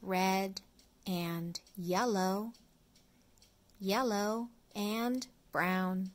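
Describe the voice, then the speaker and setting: A middle-aged woman reading an early reader picture book aloud to young children, phrase by phrase in a deliberate way. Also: mid-range voice, steady tone. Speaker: storyteller, children's read-along host.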